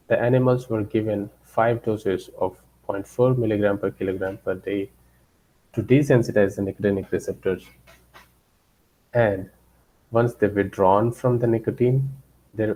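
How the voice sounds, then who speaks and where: A man presenting scientific results as a lecturer, haltingly, often repeating and rephrasing his phrases. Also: lowish voice, warm tone, poor audio. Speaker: researcher giving a guest lecture.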